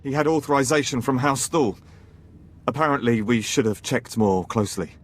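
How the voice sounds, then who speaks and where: Male actor as military subordinate, mid-pitched, businesslike, deferential, video game dialogue. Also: moderate pace, close to an everyday voice.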